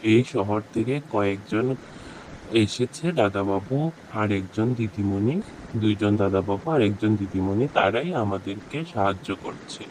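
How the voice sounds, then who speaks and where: An earnest, resolute man from a horror cartoon, acted by a man, speaking emphatically. The voice is on the low side, with slight background noise in the recording. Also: fairly even intonation, measured pace, a little mellow, lightly acted, poor audio. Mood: anxious. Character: earnest, resolute men of a horror cartoon: heroes, villager, policeman. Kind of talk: cartoon dialogue acting.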